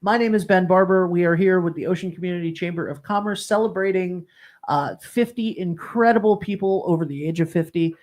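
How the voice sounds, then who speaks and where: A man's voice: high-pitched, brisk, a little mellow. A man hosting an interview series, bantering and joking with his guest, with frequent ums and uhs. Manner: jokey, friendly banter, many fillers. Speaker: interview show host.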